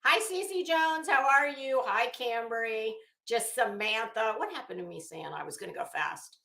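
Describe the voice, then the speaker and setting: A woman's voice: fairly high pitch, lively intonation, measured pace, vocal fry, sharp tone. Gossipy woman chatting in an echoey room. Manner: gossipy, chuckling, coy asides. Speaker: livestream host. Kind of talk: casual chat with live viewers. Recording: roomy, echoey room sound.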